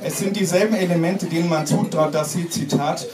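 Deadpan man reading satire, roomy distant sound. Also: a little high, brisk. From Germany. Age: 40s. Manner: deadpan, theatrical, mock-grave delivery. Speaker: author reading his own satirical text. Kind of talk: live literary reading, mock-solemn comic essay. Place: small pub-like room with audience, indoors. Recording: roomy, distant mic, clearly noisy background.

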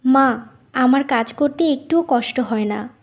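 A woman acts out a gentle-hearted young daughter-in-law for a cartoon tale. The voice is very high, and she comes across as kind and dutiful. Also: unhurried. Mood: caring. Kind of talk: Bengali cartoon story dubbing.

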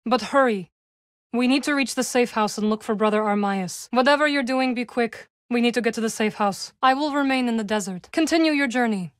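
A woman performs a young witch warrior for a video game. Her voice is high, and she delivers short battle lines with proud, formal command and a dry edge of loyalty to her allies. The sound is close and dry, with no room around it. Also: brisk, dry recording, close to an everyday voice. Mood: calm, curt. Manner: formal, clipped, commanding phrasing.

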